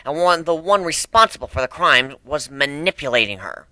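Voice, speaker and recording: A stern griffin lady acted by a man argues like a courtroom lawyer in a storybook reading. The voice is bright and forceful, with big swings in pitch and formal, emphatic phrasing. The sound is close and dry. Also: expressive, moderate pace, dry recording. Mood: accusing, emphatic. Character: stern forceful female griffin prosecutor-style advocate.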